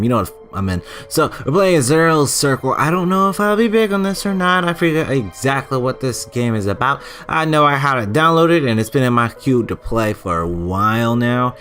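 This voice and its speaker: A man's voice: medium pitch, fairly bright tone. A man giving casual, chatty let's play commentary and reading game text aloud, stumbling now and then. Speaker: gaming channel host.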